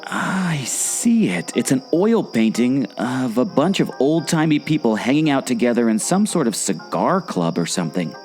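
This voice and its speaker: An excitable amateur explorer, acted by a man in a radio-style adventure. His delivery is theatrical and jokey, rising and falling freely in a mid-range voice. Faint music plays behind him. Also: medium pitch, moderate pace, hardly any pauses, close to an everyday voice. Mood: intrigued. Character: excitable, wisecracking, skeptical male amateur explorer. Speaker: co-host of a theme park podcast. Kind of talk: scripted audio drama dialogue.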